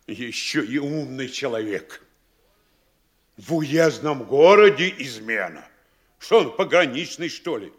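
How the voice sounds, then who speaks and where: A man's voice: medium pitch, expressive, slow. An actor playing a pompous middle-aged town mayor who lectures and instructs his officials in a radio play. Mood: scolding. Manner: bossy, instructing, mock-scolding. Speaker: town governor. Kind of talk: studio radio play acting.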